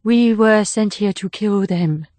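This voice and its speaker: An actress playing a resolute, sorrowful foreign assassin who speaks in formal, clipped phrases in an audiobook. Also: female voice, mid-range voice, slow, a little mellow, no room sound, lightly acted. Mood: guarded. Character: resolute foreign woman assassin, sorrowful, stoic, protective of a child. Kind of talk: audiobook character acting.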